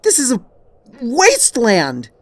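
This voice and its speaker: A man voicing a furious, idealistic unicorn mare in a dramatic story reading, loud, indignant and strained. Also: extremely high pitch, huge pitch swings, slow, dry recording, pitched above the performer's own voice, exaggerated voice. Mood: furious, disbelieving.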